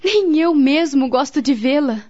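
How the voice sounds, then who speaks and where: A woman's voice: high-pitched, animated, unhurried, a little mellow. An actress playing a grave, devout woman in a radio drama, speaking solemnly and earnestly with a Brazilian accent. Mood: grave, sorrowful. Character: grave, devout woman friend, counselling and recalling family tragedy. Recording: dull, lo-fi radio drama recording.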